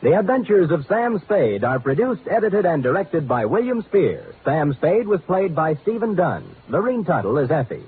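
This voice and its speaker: A man's voice: a little high, sing-song, moderate pace, hardly any pauses, a little hiss or hum. A man announcing a radio show in a polished, emphatic mid-century showman style, reading from a script. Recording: tinny old radio broadcast recording.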